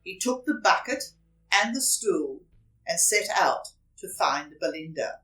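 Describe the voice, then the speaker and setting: A woman's voice: fairly low pitch, animated, fairly bright tone, far from the mic, low-quality recording. A woman reading a children's story aloud expressively, dramatically stressing and drawing out repeated words. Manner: dramatic, with emphatic repetition and drawn-out words.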